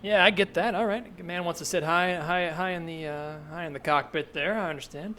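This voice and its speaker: A man's voice: sits high, fairly even intonation, fairly quick, pushed and bright. A man playing an easygoing, obliging car salesman in an improvised comedy sketch.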